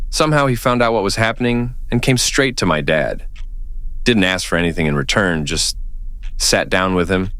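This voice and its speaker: A loyal, plainspoken young man from a small town in an audio drama. He has a fairly low voice that moves expressively as he reminisces. His delivery is earnest and folksy, recorded close and dry. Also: fairly bright tone, no room sound. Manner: earnest, folksy, deliberate phrasing. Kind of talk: audio drama acting, reflective storytelling.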